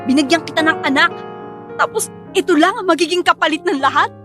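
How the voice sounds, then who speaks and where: A wronged wife and young mother, wounded and full of reproach. Her voice is high, with a Filipino accent, in radio drama style over faint music. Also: brisk. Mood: hurt, accusing.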